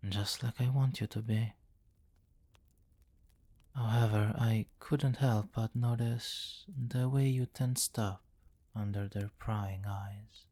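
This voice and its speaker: A man playing a possessive, commanding vampire king in an intimate ASMR fantasy roleplay, speaking formally and deliberately, with courtly, doting authority. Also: lowish voice, darkish tone. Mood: pleased, doting, then probing, smooth.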